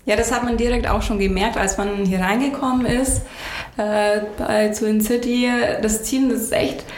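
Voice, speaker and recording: A woman's voice: medium pitch, a little mellow. Woman hosting a podcast, chatty and informal, echoey office, background noise. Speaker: podcast host. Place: office room. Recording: roomy echo, clear background noise.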